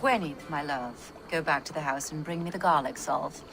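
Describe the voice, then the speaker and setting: A woman's voice: deep voice, normal speed, music in the background, poor audio. A woman playing a stern, dry-witted, motherly village healer in a video game. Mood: calm.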